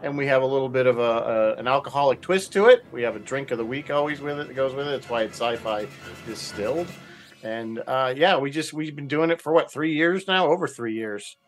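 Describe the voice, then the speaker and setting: A man chatting casually as a panel guest, explaining things in an informal, halting way. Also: medium pitch, faint music behind. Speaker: panel guest and webcast co-host. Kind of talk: casual chat. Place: video call.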